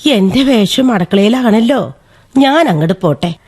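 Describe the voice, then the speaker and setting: A woman's voice: medium pitch, expressive, moderate pace, over loud music, lightly acted. A woman playing a plain-spoken, chiding old village great-aunt in a radio drama, chatty and busy. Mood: brisk, busy. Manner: chatty, proverb-quoting, mildly reproachful.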